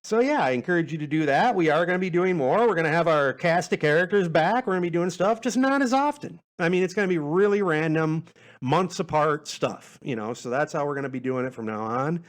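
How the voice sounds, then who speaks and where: A man with a slightly high-pitched voice talks as a podcast host. His delivery is informal and conversational, rambling along in a laid-back way as he speaks directly to listeners. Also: lively intonation, brisk. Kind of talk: casual rambling solo podcast sign-off chat.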